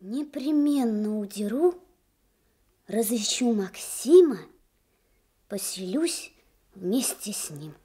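A woman's voice: mid-range voice, expressive, slow, pauses often, warm tone, breathy edge. An actress playing an earnest, dreamy, kind-hearted little boy in a radio drama. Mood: excited, secretive. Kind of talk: Soviet radio drama acting.

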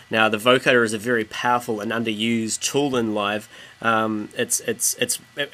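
Male music software tutor, bright forward voice, informal, explanatory, rephrases as he goes. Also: fairly low pitch. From New Zealand. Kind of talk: casual screen-recorded software tutorial.

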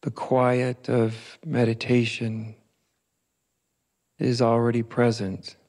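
A male meditation teacher guides listeners with a soft, relaxed tone. His pitch stays very even, and he moves slowly through short phrases, leaving long, unhurried pauses between them.

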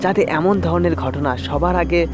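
A man's voice: fairly high pitch, measured pace, noisy background. A man telling a scripted first-person horror story as a channel narrator, with music behind him. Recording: music under the voice.

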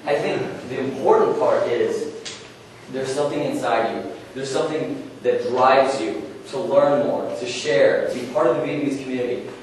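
A man's voice: medium pitch, steady tone, moderate pace. A young man presenting a workshop in a very echoey conference room, heard from a distance off the mic. He talks earnestly and casually, asking the audience question after question. A faint hiss sits under his voice. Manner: earnest, questioning, conversational with fillers.

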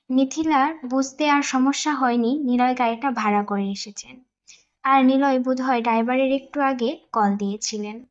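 A woman narrates a scripted romance story. Her voice sits high in pitch. The recording is somewhat dull and lo-fi.

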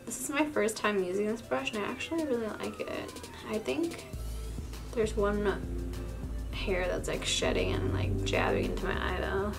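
A young woman beauty vlogger talks casually in a tired, raspy voice with plenty of vocal fry. She rambles along with self-deprecating asides while applying makeup. Music plays clearly behind her, and her pitch sits in an average range for a woman. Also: big pitch swings, normal speed. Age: young adult. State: tired, short of sleep. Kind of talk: casual makeup tutorial chat. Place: small indoor room, plain wall.

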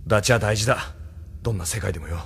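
An actor playing a rough, confrontational street tough in a Japanese audio drama, coarse and goading in manner. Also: male voice, lowish voice, quick pace, fairly bright tone, a little hiss or hum. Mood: gruff, loyal.

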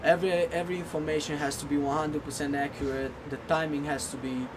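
A man in his twenties with a Romanian accent answering interview questions in a halting way, often repeating words. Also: flat delivery. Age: 20s. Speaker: assistant football coach being interviewed. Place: outdoors in front of bushes. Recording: noisy outdoor recording with vehicle rumble.

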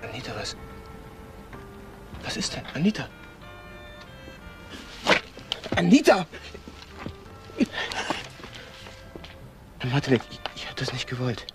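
Halting, guilt-ridden man played by an actor. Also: medium pitch, very animated, music in the background, close to an everyday voice. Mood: panicked, pleading. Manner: halting, earnest. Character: distraught, guilt-ridden man confessing to police. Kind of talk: German crime drama acting.

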